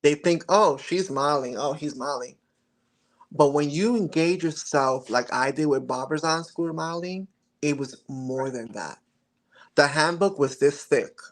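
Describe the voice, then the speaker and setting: A man's voice: on the high side, a little slow, rough recording. A man in his forties, a model interviewed as a guest, speaking earnestly and reflectively about his journey. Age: 40s. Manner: earnest and reflective, with occasional laughs and restarts. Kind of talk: casual interview chat.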